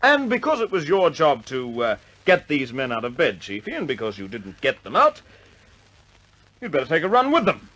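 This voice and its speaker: Brisk, exasperated squadron commander, lively higher voice. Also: male voice, full character voice, rough recording. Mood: stern. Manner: clipped, barked orders. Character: brisk, exasperated RAF squadron commander, stern but wry. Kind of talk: radio drama acting.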